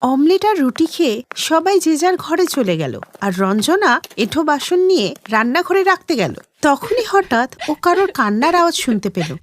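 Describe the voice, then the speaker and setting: A woman's voice: high-pitched, sing-song, brisk, no echo. A woman narrating a scripted cartoon story.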